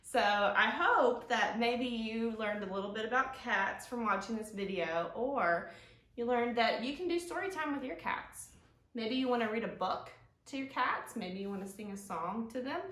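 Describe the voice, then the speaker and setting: A woman in her thirties hosting a children's storytime, speaking in a gentle, sing-song, drawn-out way to young viewers. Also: a little high, lively intonation. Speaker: library storytime host. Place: living room, indoors, on a couch. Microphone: camera a few feet away. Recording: roomy echo from camera distance.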